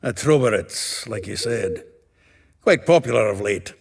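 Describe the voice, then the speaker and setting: An actor playing a hearty, blunt dwarf in a fantasy game, a raspy voice and a jovial, colloquial manner. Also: male voice, lowish voice, lively intonation, measured pace, exaggerated voice. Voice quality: gravelly, raspy. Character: hearty, blunt, earthy male dwarf friend. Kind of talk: fantasy video game dialogue.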